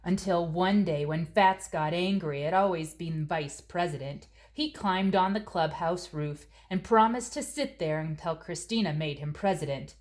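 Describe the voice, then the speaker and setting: A woman narrates a children's storybook. Her voice sits a little low, and she phrases each sentence expressively and deliberately for young listeners. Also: darkish tone, slight background noise. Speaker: storybook reader.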